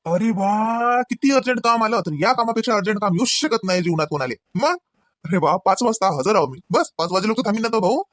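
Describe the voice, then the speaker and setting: A man's voice: sits high, animated, brisk, fairly bright tone, dry recording, clean studio sound. A man voicing a grumbling, teasing husband in a comedy cartoon, sarcastic and colloquial.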